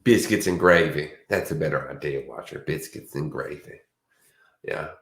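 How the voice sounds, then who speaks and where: A man's voice: extremely low pitch, normal speed, a bit bright. A man with a Boston accent, sleepy and chatting in a rambling, casual way as co-host of a true crime stream. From Boston area. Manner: meandering, chatty, restarts often. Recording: roomy echo.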